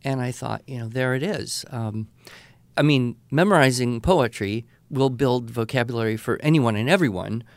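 A middle-aged man co-hosting an education podcast, telling stories in a relaxed, conversational way with many small fillers. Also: medium pitch, moderate pace, no room sound. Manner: relaxed anecdotal, frequent "uh" and "you know". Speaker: podcast co-host, writing educator. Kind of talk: casual conversational storytelling.

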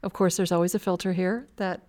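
A woman's voice: fairly low pitch, fairly even intonation, brisk, a little mellow. An American woman hosting a podcast, reading out listener questions in a conversational, agreeable way and often affirming.

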